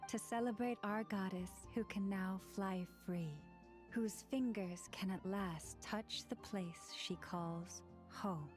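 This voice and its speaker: A woman voicing a gracious, devout priestess in an anime game, speaking in a formal, measured, reverent way. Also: medium pitch, soft background music, natural voice. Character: gracious, devout priestess welcoming her goddess.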